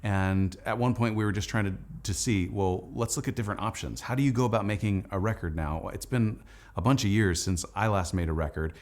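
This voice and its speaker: A man's voice: on the low side, a little fast. A man speaking casually and enthusiastically in an informal storytelling style, like a musician narrating a video diary. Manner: enthusiastic, informal, peppered with "like" and "dude". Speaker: musician narrating the making of his record; Anglican priest.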